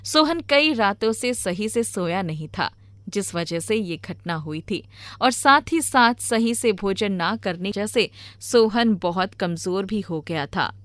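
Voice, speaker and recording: A woman's voice: mid-range voice, expressive, slow pace, a bit bright, no echo. A woman reading scripted narration for a moral story in a storyteller's manner.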